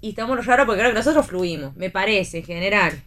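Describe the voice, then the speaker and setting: Casting: Argentine woman, mid-pitched voice, actress-teacher talking through her ideas in a relaxed radio chat. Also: fast talker. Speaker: guest, stage actress and drama teacher. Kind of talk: casual radio conversation.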